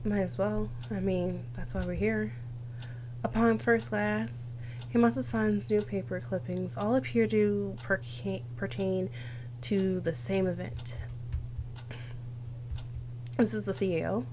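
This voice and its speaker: A woman's voice: mid-range voice, fairly even intonation, slow pace, pauses often, darkish tone, a little hiss or hum. A young woman streamer reading visual novel text aloud dramatically, with casual asides. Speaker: game streamer.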